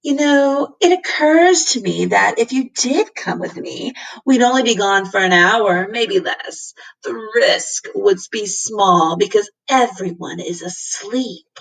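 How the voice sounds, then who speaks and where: A woman voicing a sly, flattering male cat creature in a storybook, with a theatrical, gushing and wheedling manner. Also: mid-range voice, slow, vocal fry, recorded from a distance, heavily acted, rough recording. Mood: coaxing.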